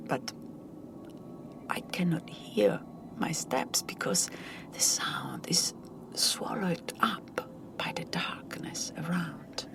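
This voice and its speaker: A woman telling a scripted first-person story in a hushed, deliberate, dramatic manner. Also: deep voice, gravelly, whispered. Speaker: audio storyteller.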